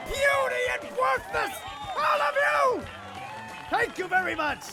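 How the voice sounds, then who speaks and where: Squeaky, excitable cartoon supervillain. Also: male voice, takes it slowly, raised voice, loud music behind the voice, over a noisy crowd, heavily acted. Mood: boastful. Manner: boastful, theatrical, over-eager. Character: excitable, vain, self-styled male cartoon supervillain. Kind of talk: comedic audio drama acting.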